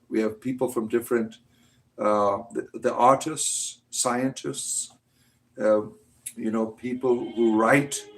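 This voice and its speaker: A man's voice: mid-range voice, low-quality recording. A man in his late forties hosting a livestream, rambling loosely and reading out viewer comments, often ending with "right?". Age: 40s. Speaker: livestream host.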